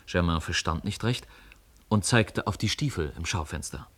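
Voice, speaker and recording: A man's voice: sits low, animated, normal speed, fairly bright tone, slightly rough. A man narrating a story for a radio play in scripted storytelling style.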